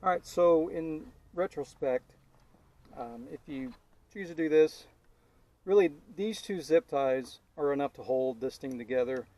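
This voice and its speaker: A man giving a casual DIY tutorial as he works, thinking aloud in an informal, halting way. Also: a little high, darkish tone. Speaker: DIY car vlogger. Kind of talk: casual hands-on installation tutorial, talking while working.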